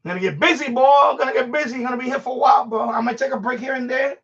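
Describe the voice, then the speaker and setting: A man's voice: very high voice, rapid-fire, gravelly, a bit bright. A middle-aged man hosting a casual, rambling live stream, talking informally and slangily as if to himself while he handles things. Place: room at home being set up as a radio shack. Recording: dull lo-fi sound, distant from mic.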